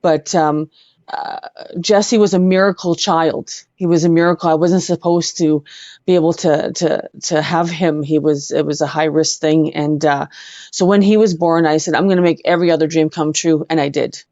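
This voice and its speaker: A middle-aged woman with a Canadian accent, telling personal stories as an interview guest in an earnest, halting way. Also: low voice, fairly even intonation, warm tone, no echo, muffled recording. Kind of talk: personal storytelling in conversation.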